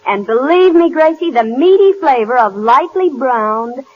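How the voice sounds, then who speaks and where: A gushing radio housewife. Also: female voice, high-pitched, lively intonation, unhurried, a little hiss or hum. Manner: gushing, enthusiastic. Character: gushing grateful housewife, adult woman. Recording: muffled 1940s radio broadcast.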